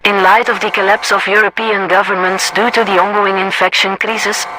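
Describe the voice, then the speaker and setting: A man's voice: high-pitched, level tone, fairly quick, pushed and bright, a little hiss or hum, poor audio. A man voicing a formal broadcaster for a post-apocalyptic government, reading a scripted announcement to survivors. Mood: formal, official. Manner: formal, declarative.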